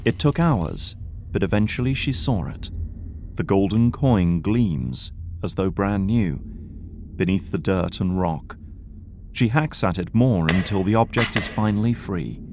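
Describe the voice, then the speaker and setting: A male narrator reads scripted audio drama narration. His voice is on the low side, with a faint noise behind it. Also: expressive, a little slow, darkish tone.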